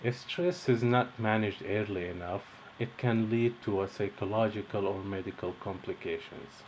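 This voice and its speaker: Young male instructor from Egypt, deep voice, measured scripted read with faint background noise. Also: low-quality recording. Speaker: course instructor. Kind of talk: read-out lesson introduction.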